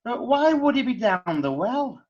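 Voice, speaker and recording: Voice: medium pitch, sing-song, a little slow, a little mellow. Actor as an exasperated magistrate, radio drama, dull lo-fi sound. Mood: wry. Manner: wry, exasperated, colloquial. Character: wry, impatient magistrate.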